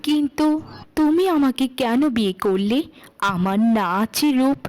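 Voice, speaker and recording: A woman's voice: high voice, animated, a little slow, darkish tone. A woman voicing a humble, devoted, self-doubting young bride in a cartoon, with a sincere delivery. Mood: tender, self-doubting. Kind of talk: cartoon voice acting for children's moral story.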